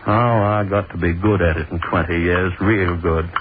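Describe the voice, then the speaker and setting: A man playing a deep-voiced gloating hangman. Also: fairly even intonation, moderate pace, lightly acted. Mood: brooding. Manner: gloating, brooding, lingers on words. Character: obsessive middle-aged male hangman, gloating and cruel. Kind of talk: 1930s radio drama acting, first-person monologue. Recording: scratchy tinny 1930s radio broadcast.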